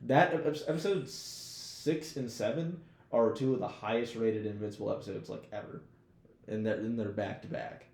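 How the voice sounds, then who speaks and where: A man's voice: lowish voice, moderate pace, a little mellow, roomy sound. A man co-hosting a podcast in casual chat, talking informally with slang and swearing. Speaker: podcast co-host. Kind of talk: casual chat, TV show discussion.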